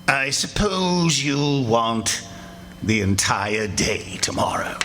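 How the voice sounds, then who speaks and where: A man playing a miserly, irritable old businessman, gruff and scornful, in live radio theatre. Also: medium pitch, animated, slow pace, sharp tone. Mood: grudging, irritable. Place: stage before a live audience. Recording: live stage recording, faint background noise.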